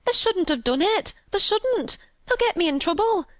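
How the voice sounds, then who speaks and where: High-pitched, agitated young housemaid. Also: female voice, big pitch swings, moderate pace, fairly bright tone, no echo, studio quality. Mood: frightened, half crying. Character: agitated young Yorkshire housemaid, plain-spoken and fearful. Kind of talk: audiobook character voice.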